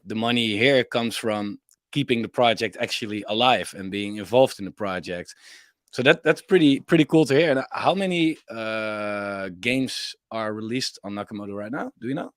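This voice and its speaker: A man hosting a casual interview, eager and quick to react, often stumbling over the start of his words. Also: fairly low pitch, fairly bright tone, no echo. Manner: enthusiastic, stammers on word starts. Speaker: interviewer, channel host.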